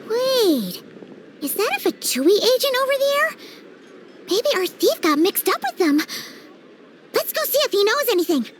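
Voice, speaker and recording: A woman's voice: very high voice, very animated, a little slow, pauses often, a little hiss or hum, full character voice. A woman voicing a plucky, blunt young girl inventor in a video game, with a childlike, casual, feisty manner. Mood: eager, curious. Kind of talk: video game character dialogue. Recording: music playing behind.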